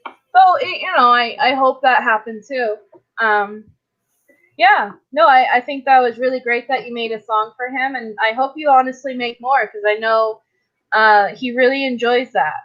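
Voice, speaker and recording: A woman's voice: a little high, moderate pace. A woman interviewing casually and a little tentatively, heard over dull lo-fi audio.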